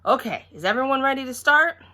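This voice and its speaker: Exasperated dad laying down the rules, played by a woman, emphatic, bright, forceful storybook voice. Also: high-pitched, lively intonation, fairly quick, voice pushed up. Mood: announcing. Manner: stern, emphatic, rule-giving. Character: stern, exasperated father running an egg hunt.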